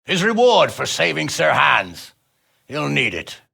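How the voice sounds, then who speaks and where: A blustering medieval lord for a video game. His voice is heavily creaky and husky, swinging widely in pitch. He is irascible and used to being obeyed. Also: sing-song, unhurried, pushed and bright, exaggerated voice. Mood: brisk, commanding. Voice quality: raspy, gravelly. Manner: blustering, imperious. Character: irascible, commanding middle-aged medieval lord.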